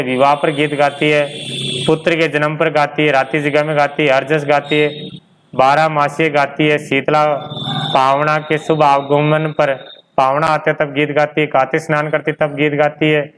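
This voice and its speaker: A male teacher gives an informal exam-prep lecture. His voice sits a little high and is very clean and smooth. He repeats key points and often asks a question, then supplies the answer himself. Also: fairly bright tone, rough recording.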